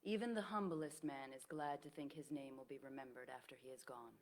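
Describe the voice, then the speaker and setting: A woman's voice: rumbling low, a little fast, slight background noise. An actress playing a loyal, witty, self-assured woman friend in a staged reading of a period drama, speaking formally and teasingly. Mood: reassuring.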